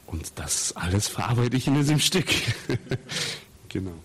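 A man's voice: medium pitch, lively intonation, moderate pace, a bit bright, husky. A man from Russia who grew up in Austria, a theatre maker giving reflective, explanatory interview answers and searching for his words. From Russia, raised in Austria.

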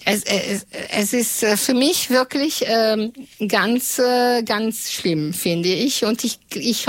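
A woman's voice: fairly high pitch, no echo. Earnest woman, dry sound. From Italy. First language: Italian. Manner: earnest, reflective, pauses often to search for words. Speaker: radio journalist and guest. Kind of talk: interview answers.

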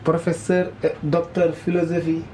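A male radio host introduces guests and questions in loose, halting sentences. His tone is warm and mellow, with faint background noise behind him. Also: on the high side, monotone, low-quality recording. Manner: informal, halting phrasing. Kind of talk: hosting a radio talk show.

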